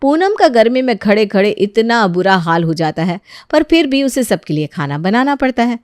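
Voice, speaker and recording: A woman's voice: fairly high pitch, very animated, moderate pace, darkish tone, clean tone, no echo, clean studio sound. A woman telling a scripted household moral story as its narrator.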